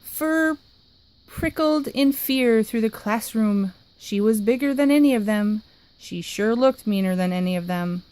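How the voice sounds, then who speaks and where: A woman's voice: fairly high pitch, rough recording. Woman reading a picture book aloud. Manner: expressive, lively, dramatic pauses. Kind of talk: storybook read-aloud.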